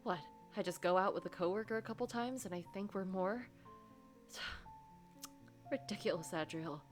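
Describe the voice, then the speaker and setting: Girl acting out a guilty anxious office worker, wounded and halting, story game narration with music under it. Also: mid-range voice, natural voice. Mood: bitter distress. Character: anxious, guilt-ridden, self-loathing office worker narrating own heartbreak.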